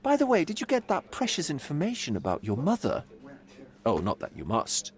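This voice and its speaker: A theatrical, mocking gentleman in a video game, all cynicism and teasing. His voice sits a touch high and swings wildly up and down. He sounds grand and sly at once. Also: wildly swooping pitch, fairly quick. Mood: probing.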